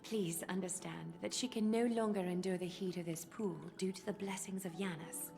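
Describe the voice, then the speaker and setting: A woman voicing a poised, composed noble leader in a video game, with a measured, elegant, dry delivery. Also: medium pitch, steady tone, creaky, darkish tone, faint music behind, close to an everyday voice. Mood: calm.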